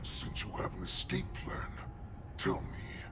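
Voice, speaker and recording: A man's voice: background noise, natural voice. A man voicing an ancient, cruel wolf-beast warlord in a video game, with a demonic growl and a menacing, commanding manner. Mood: brooding, imperious. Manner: menacing, commanding, drawn-out delivery. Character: ancient monstrous male wolf-beast warlord, cruel, imperious, freed prisoner. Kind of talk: dramatic video game voice acting. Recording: game audio with music behind.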